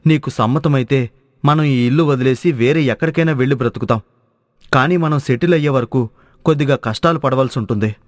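A man's voice: medium pitch, brisk, dry recording, studio quality, natural voice. A man voicing an earnest, caring young husband in a moral story. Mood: concerned. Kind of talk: dramatized moral story dialogue.

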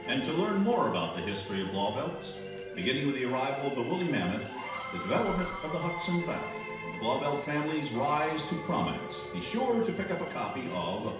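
A man playing a droll old-time radio serial announcer, speaking formally and deadpan. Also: medium pitch, brisk, natural voice. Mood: deadpan announcing. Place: small library room, live holiday performance. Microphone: stage microphone, distant camera recording. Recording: echoey room from far off, music behind.

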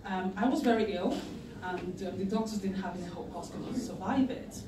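A middle-aged woman giving a heartfelt personal testimony at a lectern, earnest and emotional, pausing to gather herself. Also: mid-range voice, background noise. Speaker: patient campaigner giving a speech. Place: wood-panelled meeting room, indoors, at a lectern. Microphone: far camera in the audience. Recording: echoey room from far off, faint ambience.

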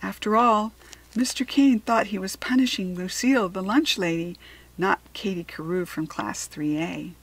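An American woman narrating a children's story expressively, with deliberate dramatic emphasis on key words. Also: mid-range voice, husky, poor audio. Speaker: storybook reader.

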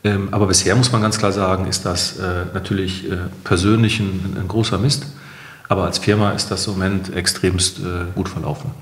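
A man's voice: low-pitched, level tone, moderate pace, fairly bright tone, slight background noise. A middle-aged man, a company co-founder, explains things conversationally in an interview, mixing in English business terms. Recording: roomy, echoing interview recording.

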